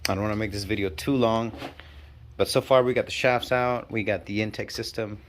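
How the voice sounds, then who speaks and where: A man with a stuffy, nasal cold talking casually and haltingly while working on a car in his garage. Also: lowish voice, fairly even intonation, slight background noise. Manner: talks while working, halting and self-deprecating. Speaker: channel host. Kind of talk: casual DIY garage walkthrough. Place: home garage.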